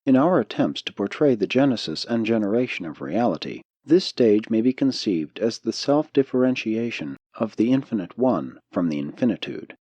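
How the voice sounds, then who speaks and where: A man narrating a spiritual audiobook in a solemn, measured way. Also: on the low side, very animated, dark tone, breathy edge, dry recording, highs cut off. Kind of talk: scripted narration of a spiritual text.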